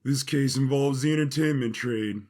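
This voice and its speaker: A man voicing a world-weary, blunt bounty hunter with a deadpan manner in an audio story. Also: mid-range voice, moderate pace, lightly acted. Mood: businesslike, dry.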